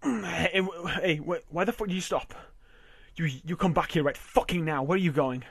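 A man voicing a domineering, possessive husband in an amateur audio roleplay, coarse and swearing, often dropping to a breathy whisper. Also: high voice, normal speed, a bit bright, no room sound, exaggerated voice. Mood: panicked.

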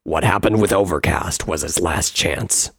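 A narrator voicing a stern, menacing male warrior pony captain with a growling, husky voice and a grave, commanding manner. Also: low voice, a little slow, no room sound, studio quality, pitched below the performer's own voice, exaggerated voice. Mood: grim resolve.

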